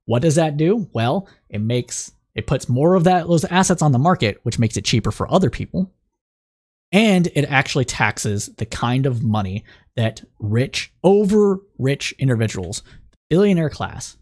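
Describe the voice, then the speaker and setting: A man with a slightly raspy voice hosting a call-in political news podcast, explaining the week's news and reading reports aloud, with frequent fillers and restarts. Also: medium pitch, very animated, normal speed, darkish tone. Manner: thinking aloud, many fillers and restarts, reads news excerpts aloud. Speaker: podcast host.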